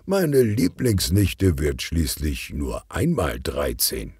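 A man voicing a determined, jovial older police detective uncle who grumbles deliberately in a children's audio story. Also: rumbling low, wildly swooping pitch, darkish tone, slightly rough, no echo, studio quality, exaggerated voice. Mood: pleased.

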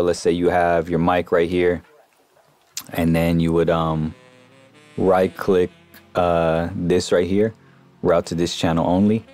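A man giving a casual, informal software tutorial as a voice-over on a close mic. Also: sits low. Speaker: music production tutorial host.

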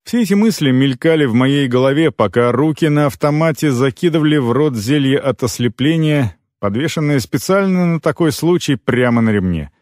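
A man narrating scripted first-person fiction as an audiobook reader, expressive, with deliberate phrase breaks. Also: mid-range voice, no room sound, clean studio sound.

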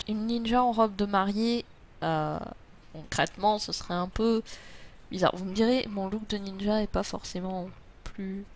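A French woman chatting casually and playfully as she streams an online game. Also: mid-range voice.

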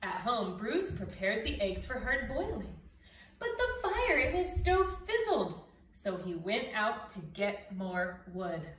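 A middle-aged woman gives an expressive children's read-aloud, unhurried and full of swoops in pitch. She is recorded in a roomy space with dramatic emphasis on her words. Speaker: storybook reader. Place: indoors, living room by brick fireplace and window. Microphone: camera a few feet away. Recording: roomy, distant mic, faint noise.

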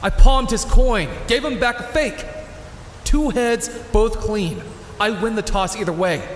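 An actor playing an earnest, guilt-ridden older vigilante hero in an audio drama, pleading yet resolute. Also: male voice, sits high, unhurried, slight background noise. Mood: anguished. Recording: echoey, reverberant hall sound. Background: music.

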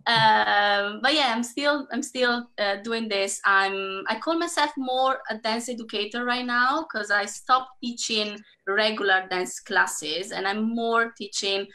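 A woman's voice: a little high, a little slow, hardly any pauses, sharp tone. A woman in her thirties from Italy with an Italian accent, introducing herself as a dance educator on a video call, chatty with many fillers. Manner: chatty, many fillers, laughs between phrases. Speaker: panel guest, dance educator. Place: home room. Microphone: laptop webcam mic on video call.

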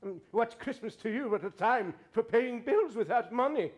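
A sour old skinflint in a stage radio play, his high voice swinging widely as he grumbles and mocks. He is snappish, contemptuous and theatrical. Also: animated, normal speed. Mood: scornful. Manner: grumbling, contemptuous, with mocking laughs. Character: bitter old miser, scornful and snappish businessman. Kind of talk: live staged reading of 1930s radio drama. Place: theatre stage, live performance.

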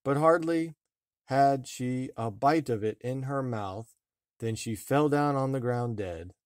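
A man reading a fairy tale aloud as an expressive storybook narrator. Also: medium pitch, slow, no echo, clean studio sound. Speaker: storybook reader.

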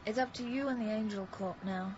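An actress playing a businesslike, sensible supernatural agent in a radio drama. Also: female voice, medium pitch, fairly quick, noisy background, natural voice. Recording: dull radio drama sound, loud music.

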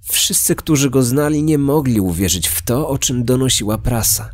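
A man's voice: medium pitch, expressive, normal speed, dry recording, clean studio sound. A man delivering scripted documentary narration as the host of a true-crime podcast.

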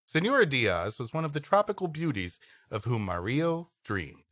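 Male story podcaster, bright tone, dry close sound, comic asides. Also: medium pitch, sing-song, normal speed, dry recording. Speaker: podcast co-host reading an old story.

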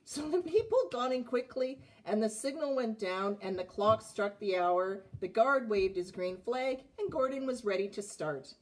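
A woman in her forties reading a children's picture book aloud, with expressive phrasing and a slightly husky voice. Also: fairly high pitch, normal speed, hardly any pauses, poor audio. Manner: expressive, clearly phrased narration with asides about the pictures. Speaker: storybook reader. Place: indoors, child's playroom.

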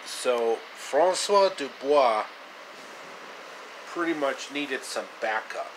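A man in his thirties tells a story in a casual, improvised way. His pace is slow and halting, with repeated phrases, and his pitch sits in the middle. An air conditioner hums clearly behind him on a handheld-distance mic. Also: expressive, noisy background. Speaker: amateur storyteller. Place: small indoor room, reclining.

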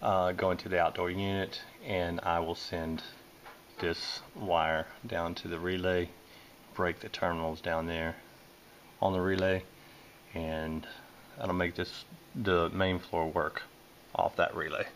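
Southern man, HVAC technician, low voice, plain step-by-step explaining, handheld camera with faint room noise. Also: level tone, slow pace, fairly bright tone. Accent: Southern American. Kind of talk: hands-on repair walkthrough. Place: indoors at a furnace in a basement or utility space. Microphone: handheld camera filming close-up work.

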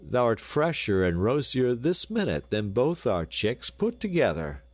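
A man's voice: mid-range voice, big pitch swings, unhurried, no echo, voice pushed up. A man voicing a plain-spoken, hearty peasant father in a storybook, using old-fashioned rustic speech.

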